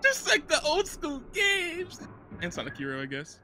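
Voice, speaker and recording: A young man giving casual, excitable movie reaction commentary full of slang and swearing, close on a microphone. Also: very high-pitched, normal speed, soft background music. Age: young adult. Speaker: reaction video host. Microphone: close studio microphone, headset monitoring.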